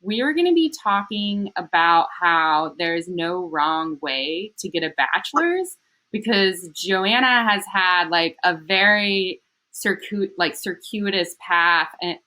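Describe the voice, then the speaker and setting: A woman's voice: on the low side, animated, slow, bright, forceful tone, low-quality recording. A woman hosting a casual interview with a friend, upbeat and loose, filling her speech with "like" and "um". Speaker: interviewer and channel host. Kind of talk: casual interview intro and questions.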